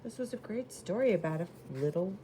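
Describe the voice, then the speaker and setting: Woman storyteller for a children's picture book: unhurried, soft-toned delivery, expressive and simply phrased, faint noise behind. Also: mid-range voice, poor audio. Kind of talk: expressive read-aloud for young children.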